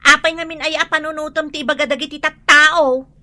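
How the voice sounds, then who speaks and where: An actress with an Ilocano accent playing a vain, testy, sharp-tongued wife in a comedy radio drama, exclaiming defensively. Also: female voice, high voice, steady tone, fairly quick, soft background music.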